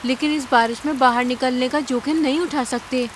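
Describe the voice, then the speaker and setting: A woman's voice: high voice, fairly even intonation, moderate pace, a little hiss or hum, natural voice. A woman voicing a concerned, clever female bird in a cartoon, speaking earnestly. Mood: worried. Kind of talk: cartoon character acting.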